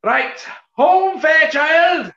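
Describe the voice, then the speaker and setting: An actor playing a wealthy, breezy, imperious composer with a plummy, theatrical manner in a 1940s-style radio comedy. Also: male voice, very high voice, lively intonation, drawn-out words, creaky, pushed and bright, full character voice. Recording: echoey, dull room recording.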